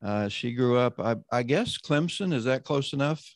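A man hosting an online roundtable, introducing panelists and leading a prayer in a measured, conversational way. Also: medium pitch, lively intonation, no room sound, clean studio sound. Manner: measured, conversational, often slowing down. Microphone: video call.

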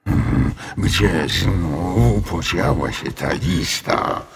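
A man voicing a calm, kindly, absent-minded dragon in a children's storybook, muttering and drawing out his words. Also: low voice, gravelly, long reverb tail, slight background noise, voice pushed down, full character voice. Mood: pondering. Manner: unhurried, drawn-out, muttering to himself.

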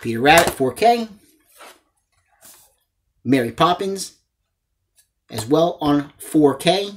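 A man's voice: on the high side, expressive, slow pace. Enthusiastic man chatting casually to camera. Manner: enthusiastic, addresses viewers as guys. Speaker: host of a movie collecting channel. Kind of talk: casual chat showing a haul to camera. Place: small indoor room lined with disc shelves.

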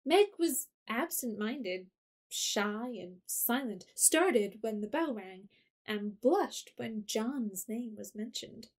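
Woman, storyteller narration, higher pitch, audio drama. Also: lively intonation, slow pace. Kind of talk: scripted narration.

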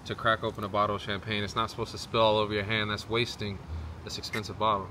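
A man's voice: fairly low pitch, flat delivery, fast talker. An American man in his twenties chatting casually as a vlogger. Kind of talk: casual vlog chat. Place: outdoors in a backyard hot tub. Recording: noisy outdoor water and ambience.

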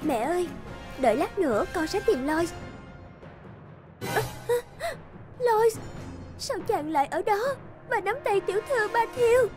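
An actress playing a tender, emotional young maiden in an animated fairy tale, with plaintive theatrical delivery. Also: female voice, very high-pitched, measured pace, pauses often, over music, exaggerated voice. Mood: pleading, hurt.

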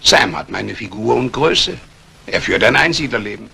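An actor playing a scheming embezzler in a 1950s radio crime drama, calculating and menacingly polite. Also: male voice, on the low side, animated, normal speed, gravelly, bright, forceful tone, husky, exaggerated voice. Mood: coolly scheming. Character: cold, scheming embezzling banker plotting murder.

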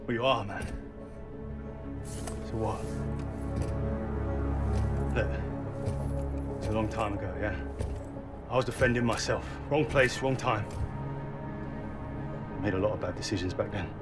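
An actor playing a streetwise convict ally in a video game, frank and urgent, with slangy, clipped phrasing. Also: male voice, fairly low pitch, a little slow, pauses often, close to an everyday voice. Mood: frank, subdued. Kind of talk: cinematic video game acting. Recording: loud music or machinery noise behind.

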